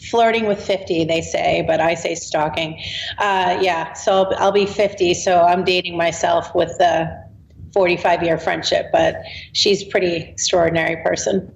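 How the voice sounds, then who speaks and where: A woman's voice: fairly low pitch, steady tone, normal speed, vocal fry. A woman in her late forties, an author chatting as a podcast guest, talking easily and laughing now and then. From Boston. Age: 40s. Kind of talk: casual interview chat. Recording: roomy, dull remote audio with faint noise.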